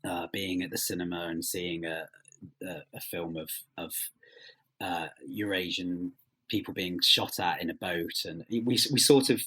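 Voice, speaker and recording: A man's voice: deep voice, fairly even intonation, sharp tone, husky. A man answering interview questions as a writer, thoughtful and hesitant, often rephrasing as he goes. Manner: hesitant, halting phrasing with many trailing rephrasings.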